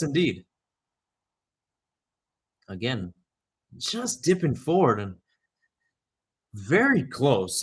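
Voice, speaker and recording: A young man giving live radio-style soccer commentary, moving between calls of play and conversational analysis. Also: medium pitch. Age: young adult.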